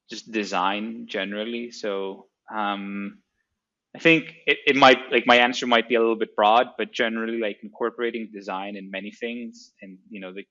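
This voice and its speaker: A man's voice: lowish voice, bright, forceful tone, muffled recording. A man from Greece, a startup co-founder, answering interview questions in a hesitant way with many fillers and restarts. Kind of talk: casual interview.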